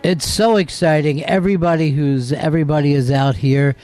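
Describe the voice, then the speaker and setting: A man's voice: on the high side, fairly even intonation, normal speed, darkish tone, soft background music. A man hosting a comedy talk show with casual, joking, rambling banter.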